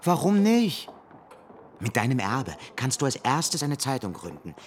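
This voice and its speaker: An actor playing an ambitious, persuasive young friend in a radio drama. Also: male voice, mid-range voice, very animated, measured pace, slight background noise. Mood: eager, urging. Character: ambitious, brisk young male friend, scheming. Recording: faint background ambience.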